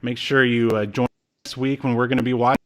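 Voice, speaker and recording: A man's voice: medium pitch, level tone, fast, a bit bright. A man in his thirties hosting a podcast in a casual, joking, self-deprecating way. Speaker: podcast host. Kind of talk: casual chat.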